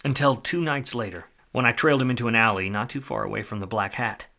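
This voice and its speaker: An actor playing a weary, wisecracking 1940s private detective in a film noir radio play, with a wry, slangy manner. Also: male voice, mid-range voice, fast, vocal fry, sharp tone. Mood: wry, self-mocking. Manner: wry, hard-boiled, slangy.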